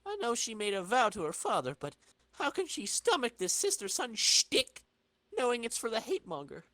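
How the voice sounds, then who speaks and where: Man acting a lively, keyed-up young sidekick. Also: very high voice, moderate pace, dry recording, pitched above the performer's own voice, heavily acted. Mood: urgent, incredulous. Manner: exclaiming, slangy, animated. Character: slangy, keyed-up young male sidekick thinking aloud.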